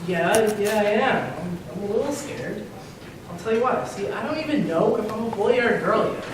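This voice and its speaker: A woman's voice: mid-range voice, lively intonation, normal speed, lightly acted. Female actor as blustering, wisecracking newborn baby for stage comedy. Swooping delivery, heard from far off. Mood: nervous. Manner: blustering, know-it-all, wisecracking. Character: cocky, stubborn newborn baby, sure it's a girl. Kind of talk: readers theater comedy dialogue on stage. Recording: echoey hall, far mic, clear noise.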